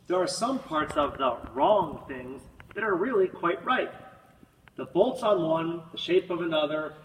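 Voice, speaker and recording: A man reads a picture book aloud with theatrical emphasis and drawn-out words. His voice sits a little high. It comes from some distance in a very echoey room, with a faint layer of noise. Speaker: storybook reader. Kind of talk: expressive children's picture-book read-aloud.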